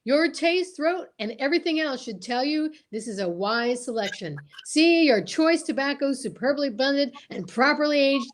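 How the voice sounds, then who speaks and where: A woman announcer giving a showy sales pitch in the style of old-time radio.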